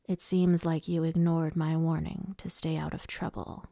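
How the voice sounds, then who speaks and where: A woman's voice: on the low side, level tone, unhurried, vocal fry, dark tone, no echo, studio quality. A woman voicing a taunting, casually cruel immortal male lord in an audiobook fantasy story, with a purring menace. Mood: taunting, menacing. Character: menacing, smooth, mocking immortal male High Lord villain.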